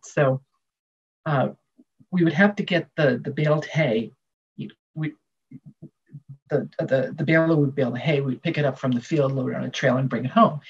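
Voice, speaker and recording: An older American woman with a husky voice, answering interview questions with reflective storytelling. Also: low voice, darkish tone. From Massachusetts, United States. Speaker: guest author. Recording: dull, muffled lo-fi audio.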